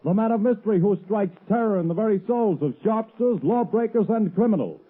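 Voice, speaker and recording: A man's voice: high-pitched, lively intonation, fairly quick, faint music behind. A male announcer reading a sponsor message in emphatic, declamatory 1930s radio style. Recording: tinny old radio recording.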